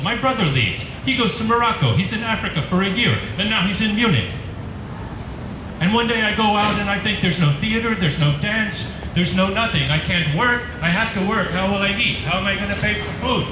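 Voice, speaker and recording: A man acting a stage monologue as a displaced Syrian-Palestinian dancer, telling his story in halting, repeated short phrases. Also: high-pitched, noisy background. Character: displaced young Syrian-Palestinian male dancer recounting war. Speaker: actor performing a monologue. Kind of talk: theatrical first-person testimonial monologue. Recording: echoey live performance space with music. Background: music.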